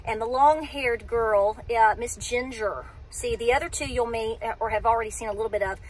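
A woman's voice: fairly high pitch, fairly quick, low-quality recording. A woman, chatting casually to a phone camera from inside a parked car, rambling and restarting her sentences as she talks. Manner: chatty, rambling, self-interrupting. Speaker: homestead vlogger. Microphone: phone camera at arm's length.

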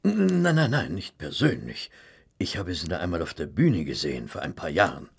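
An actor playing a polite, hesitant gentleman, a detective's genial companion, husky and gravelly, in a German radio play. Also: deep voice, very animated, normal speed, heavily acted. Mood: flustered.

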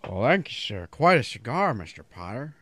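An actor playing an earnest, kindly small-town man in a radio drama, folksy and a little halting. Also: mid-range voice, big pitch swings, a bit bright, dry recording. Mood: wary, polite.

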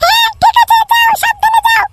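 A man voicing a bossy but caring young wife in an amateur cartoon, with a pitch-shifted voice. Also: little pitch movement, a little fast, bright, forceful tone, a little hiss or hum, full character voice. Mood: caring, cautioning. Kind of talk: amateur cartoon comedy dialogue.

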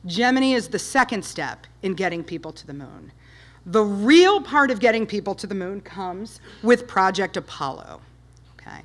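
A woman in her fifties with a loud, eager delivery, pitched in an ordinary middle range. She drives her talk with punchy rhetorical questions. She speaks to an audience in a dark lecture hall, picked up by a far camera. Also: animated, normal speed. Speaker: guest speaker, space history presenter. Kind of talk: public talk with slides.

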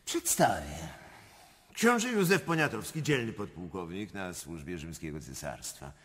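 An actor playing a flamboyant, cynical prince and court schemer in a radio drama, theatrical and mocking, with a husky voice. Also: male voice, low voice, very animated, unhurried, fairly bright tone, heavily acted. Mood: cynical, jovial. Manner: theatrical, mocking, swings from whispers to roars. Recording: long hall-like reverb.

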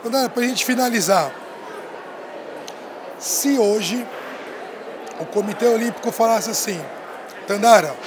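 A man in his thirties interviewing a guest on a handheld mic at a busy expo hall, asking relaxed, rambling questions. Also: high-pitched, measured pace, long pauses, noisy background. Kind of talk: casual interview.

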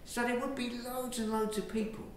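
An Englishman, a priest, giving a lively, conversational sermon from the pulpit of a large church, filmed from a distance. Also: very high voice, moderate pace. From England. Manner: lively, conversational preaching with gestures. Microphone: far camera. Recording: very echoey church from far off, faint hiss.

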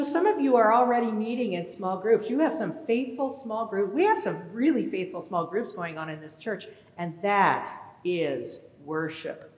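A middle-aged woman pastor preaching a sermon in a large church sanctuary, animated and questioning her congregation. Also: on the high side. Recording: echoey church with long reverb.

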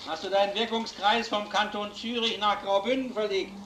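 Firm ex-detective, highish voice. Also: male voice, steady tone, normal speed, a bit bright. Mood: dryly teasing. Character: stubborn former police detective, protective and dryly teasing. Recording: echoey film sound, faint background noise.

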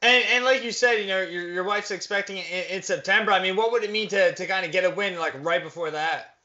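A man's voice: high voice, quick pace, bright, forceful tone. A young man interviewing over a video call from indoors, asking easygoing questions with many fillers. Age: young adult. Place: indoors, plain wall. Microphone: webcam on a video call. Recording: dull video-call audio.

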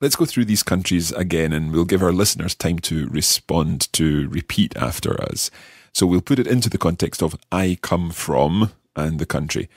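Male co-host, very low voice, inquisitive learner, dry studio sound. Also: husky, no room sound. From Scotland. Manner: inquisitive, repeats phrases slowly. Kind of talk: language lesson dialogue.

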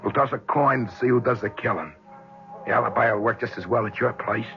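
An American actor in a 1970s radio drama playing a cool, calculating schemer with a husky voice. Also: male voice, medium pitch, brisk, faint music behind, close to an everyday voice. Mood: wary, resolute. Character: cool, calculating former business partner, male, turned schemer. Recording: dull vintage radio recording.

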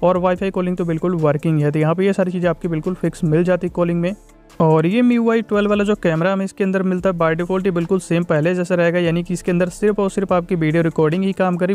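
A man's voice: high voice, a little mellow, smooth. A man giving a casual, repetitive tech review voice-over, mixing in English tech terms.

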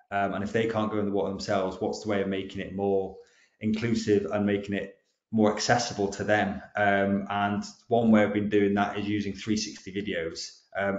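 A young Welsh man with a Welsh accent, giving a slide presentation, hesitant with frequent ums and restarts. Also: on the low side. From Wales. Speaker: guest speaker. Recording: dull, roomy online call audio.

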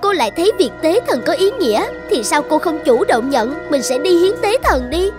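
A woman voicing a resentful young village girl in a cartoon fairy tale, speaking with sharp, sarcastic reproach. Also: high voice, big pitch swings, a little fast, over music. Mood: scornful.

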